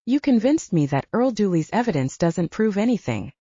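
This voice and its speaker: A woman's voice: mid-range voice, very animated, normal speed, warm tone, breathy edge, dry recording, lo-fi sound. A woman voicing a spirited young female audiobook heroine, frank, teasing and persuasive. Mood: friendly. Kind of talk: audiobook character dialogue.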